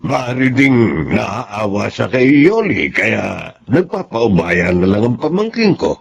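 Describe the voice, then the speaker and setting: A man playing a concerned, advising uncle in a Filipino radio drama, husky, with a Filipino accent. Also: mid-range voice, big pitch swings, slow, full character voice. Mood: grave, advising. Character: concerned, advising uncle, a well-off older gentleman.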